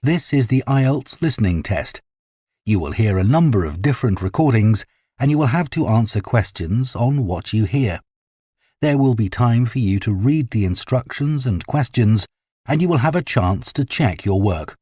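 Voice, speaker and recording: Formal male exam announcer, slightly husky. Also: medium pitch, animated, dark tone, dry recording, clean studio sound. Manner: formal, deliberate. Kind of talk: scripted instructions.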